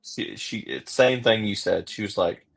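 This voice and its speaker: A man's voice: fairly low pitch, sing-song, a little slow, creaky. Rambling man with an Oklahoma accent. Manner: rambling, many fillers and restarts. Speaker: podcast host. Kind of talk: casual interview chat.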